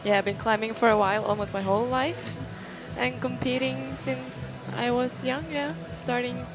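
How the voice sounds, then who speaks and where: A woman's voice: a little high, steady tone, normal speed. A young woman from Norway with a Norwegian accent, chatting informally and a little hesitantly as a guest co-commentator. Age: young adult. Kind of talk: casual interview chat. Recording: music behind the voice. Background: music.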